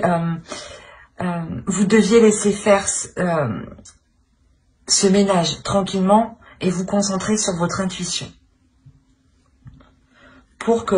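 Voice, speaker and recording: A woman's voice: fairly low pitch, slight background noise. A woman giving an unscripted tarot reading to viewers, hesitant and searching for words as she repeats phrases. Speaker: tarot reader and channel host.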